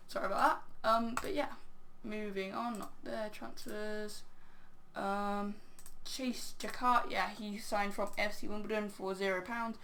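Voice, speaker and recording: Rambling hoarse woman commentating a game. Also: mid-range voice, steady tone, a little slow, fairly bright tone, slight background noise. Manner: rambling, filler-heavy, "um, yeah". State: crackly, hoarse voice. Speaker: game channel host. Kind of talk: casual video game commentary.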